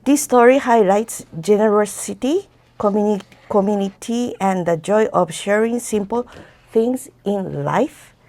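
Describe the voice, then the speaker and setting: A woman with a Japanese accent, a native Japanese speaker, retelling a folktale haltingly as she searches for her words. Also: medium pitch, a little mellow. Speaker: guest storyteller.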